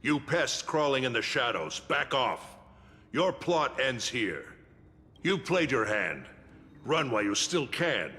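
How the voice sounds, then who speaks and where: An actor playing a stern, commanding city guard in a fantasy game, with a forceful, formal and declamatory delivery. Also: male voice, medium pitch, very animated, slow pace, big-room sound, full character voice. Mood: threatening. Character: stern, commanding male city guard, menacing toward enemies. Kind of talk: dramatic fantasy game voice acting.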